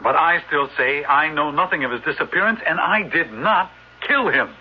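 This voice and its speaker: An actor playing a self-assured wastrel nephew under suspicion in a 1970s radio drama. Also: male voice, a little high, animated, normal speed, lightly acted. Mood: defensive. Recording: muffled, noisy old radio broadcast.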